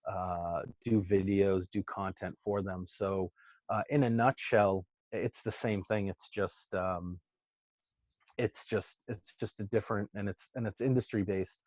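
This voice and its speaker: A middle-aged man with a thick Massachusetts accent talks in a low voice, rambling and cursing freely. The sound is dry and a bit dull, like a lo-fi recording. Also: no echo. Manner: rambling, profane, many restarts and fillers. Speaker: podcast guest, social media marketer. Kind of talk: casual chat.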